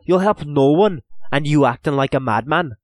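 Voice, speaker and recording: A man's voice: fairly high pitch, expressive, moderate pace, no room sound, voice pushed up, lightly acted. A man voicing a grim nobleman, blunt and scolding, recorded dry and close. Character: gruff Irish nobleman companion, grim.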